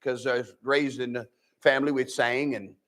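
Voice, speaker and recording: An older man from the American Midwest with a nasal voice, preaching a sermon as pastor in a folksy, animated way with many restarts. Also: mid-range voice, normal speed. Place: church.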